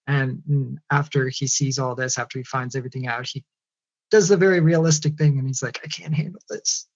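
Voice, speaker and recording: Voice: mid-range voice, steady tone, a little fast, dull audio. A voice actor guest in a casual podcast chat, hesitant with many ums and frequent giggles.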